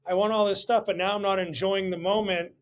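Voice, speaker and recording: A man's voice: high voice, steady tone, fairly quick. A man in his thirties telling personal stories candidly in casual conversation, blunt and prone to swearing, as a guest on a podcast. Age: 30s. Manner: profane, blunt.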